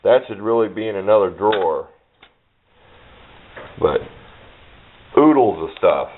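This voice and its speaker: A man's voice: fairly low pitch, lively intonation, measured pace. A middle-aged man giving a casual, rambling show-and-tell in an offhand, folksy way, recorded on a handheld camera's built-in microphone. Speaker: hobbyist showing a parts collection. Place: indoor workbench. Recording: muffled phone-like sound with hiss, clicks.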